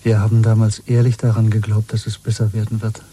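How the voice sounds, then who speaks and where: An actor playing a weary, bitter, quietly defiant dissident in a radio drama, husky and intimate, speaking slowly and broodingly. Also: male voice, fairly low pitch, monotone. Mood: bitter conviction. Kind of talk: 1970s German radio play acting.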